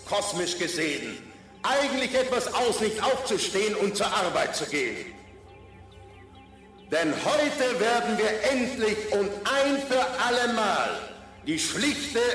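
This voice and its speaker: High, soaring ceremonial orator. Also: male voice, a bit bright, full character voice. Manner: declamatory, grandiose, pausing. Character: exalted ceremonial orator, solemn and fervent. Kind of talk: radio drama public oration. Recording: very echoey, distant, music behind.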